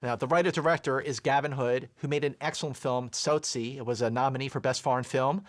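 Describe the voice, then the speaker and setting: A man's voice: mid-range voice, steady tone, brisk. Emphatic man discussing movies. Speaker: film review panelist.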